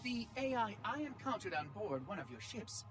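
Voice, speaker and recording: A fussy machine oracle from a game, with a very lively, swooping delivery. It is prim, pedantic and officious, and picks every word precisely. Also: male voice, high voice, moderate pace, noisy background. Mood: puzzled, questioning. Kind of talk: video game cutscene acting.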